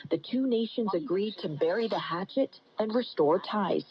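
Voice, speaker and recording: A woman's voice: mid-range voice, expressive, moderate pace, a crowd in the background. A woman correspondent reading a scripted news report, heard over shortwave radio. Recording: muffled, noisy shortwave radio broadcast.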